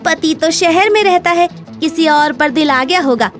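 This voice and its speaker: महिला कलाकार बनी ऊँची आवाज़ वाली चालबाज़ औरत. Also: female voice, very high-pitched, normal speed, faint music behind. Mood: gloating, spiteful. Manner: scornful, scolding. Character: scheming, spiteful female village dhaba owner. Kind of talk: dramatized Hindi moral story voice acting.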